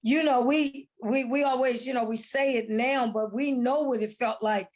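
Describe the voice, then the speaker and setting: A woman's voice: fairly high pitch, steady tone, normal speed, a little mellow. An American woman speaking earnestly and casually as an interview guest on a video call, repeating words for emphasis. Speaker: stage play cast member as guest. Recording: dull online call audio.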